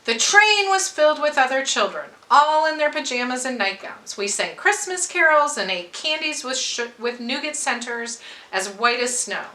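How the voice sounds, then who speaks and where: Casting: female storybook reader, bright forceful tone, measured expressive delivery, roomy echoing recording. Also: sits high. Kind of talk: expressive children's story read-aloud.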